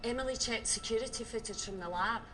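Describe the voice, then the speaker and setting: A controlling executive in a live-action thriller. She speaks fairly high with cool, formal authority, faint music beneath her. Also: fast, pushed and bright, low-quality recording. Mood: cool, questioning. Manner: commanding, formal. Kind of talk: live-action film acting.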